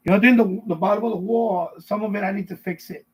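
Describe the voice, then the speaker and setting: A middle-aged man with a husky voice chats casually on a live stream, rambling and repeating himself as he answers viewers. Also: sits high, steady tone, fairly quick, rough recording. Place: basement at home.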